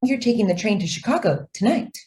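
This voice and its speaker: An actress playing a polite young office stenographer, deferential yet evasive and quietly persistent, in a radio drama. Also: female voice, mid-range voice, big pitch swings, brisk, close to an everyday voice. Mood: polite, insistent. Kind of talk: stage-style radio drama acting.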